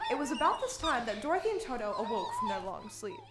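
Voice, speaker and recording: A woman narrating an audio play with scripted, expressive storybook delivery. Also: very high-pitched, fast talker, a crowd in the background. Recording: live stage recording with music.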